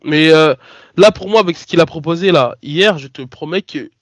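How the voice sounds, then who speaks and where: A man's voice: on the high side, moderate pace, no echo. A French man co-hosting a casual podcast, talking hesitantly with many restarts. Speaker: podcast co-host. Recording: dry, dull lo-fi sound.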